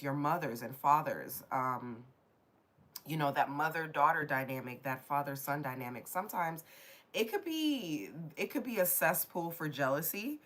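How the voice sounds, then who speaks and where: Woman w/ a low stuffy nasal voice, getting over a cold, chatting loosely on her tarot live. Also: a bit bright. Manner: chatty, rambling, addresses viewers directly. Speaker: tarot reader hosting a live stream. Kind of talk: casual live-stream chat.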